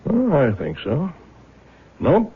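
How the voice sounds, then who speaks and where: An actor in a 1970s radio drama playing a frank, folksy older father and small-town prosecutor with a gravelly voice. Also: fairly high pitch, huge pitch swings, slow pace, narrow, tinny audio, exaggerated voice. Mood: reflective. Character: plain-spoken small-town prosecutor, fond protective old father. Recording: band-limited vintage radio broadcast.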